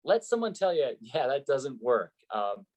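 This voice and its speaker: A man's voice: medium pitch, sing-song, measured pace, a little mellow. An American man, a sports play-by-play announcer, giving advice conversationally in an interview and explaining with examples and rhetorical questions.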